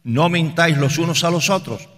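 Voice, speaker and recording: Bright-voiced male preacher in echoey hall. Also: fairly high pitch, normal speed. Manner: didactic, emphatic, repeats key phrases for stress. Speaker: preacher teaching a Bible study. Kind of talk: expository sermon with scripture reading. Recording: reverberant hall with long echo.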